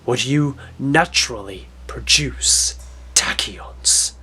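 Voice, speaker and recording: A man voicing a cold, sly, menacing middle-aged villain in an audio drama, speaking in a slow, deliberate, breathy whisper. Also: medium pitch, sharp tone, voice pushed down, full character voice. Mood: menacing, weary.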